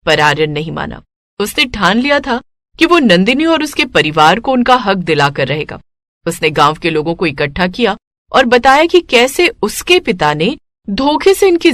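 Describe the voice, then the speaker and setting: Animated woman storyteller, dry recording. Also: mid-range voice, dry recording. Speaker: storyteller, narrator. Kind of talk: expressive scripted narration of a moral story.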